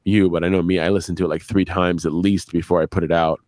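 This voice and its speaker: A man's voice: low voice, little pitch movement, quick pace, darkish tone. A man talking casually on a live stream as an audio drama sound editor, rambling and often restarting his phrases.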